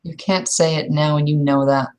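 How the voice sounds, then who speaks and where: A woman's voice: sits low, fairly even intonation, normal speed, darkish tone, close to an everyday voice. A woman playing a blunt, impatient werewolf in a tabletop roleplay, arguing and storytelling. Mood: firm. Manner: argumentative, storytelling, exasperated.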